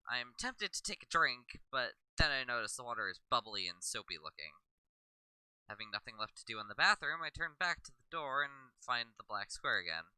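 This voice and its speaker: A man's voice: mid-range voice, lively intonation, brisk, sharp tone, clean tone, no room sound, rough recording. A man reading first-person narration aloud from a visual novel, sometimes stumbling and rereading a line.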